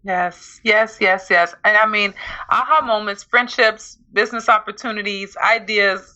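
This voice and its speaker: A woman's voice: mid-range voice, fairly even intonation, unhurried, pushed and bright, highs cut off. A woman co-hosting a podcast in casual chat, playful and teasing with an upbeat, promoting energy. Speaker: podcast co-host.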